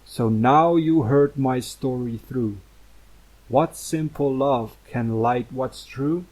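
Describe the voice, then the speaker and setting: A man's voice: medium pitch, slow, darkish tone, low-quality recording. A man reading a poem aloud as a recitation. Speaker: poem reader. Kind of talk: poetry recitation.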